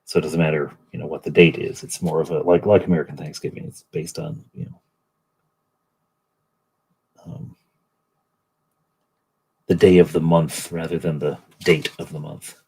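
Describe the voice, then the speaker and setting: Soft-spoken, very low-voiced man. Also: level tone, normal speed, vocal fry, pauses often, breathy edge. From Canada. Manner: soft, muttering to himself, drifting between quiet asides and chat. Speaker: livestream host painting miniatures. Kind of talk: casual chat while painting.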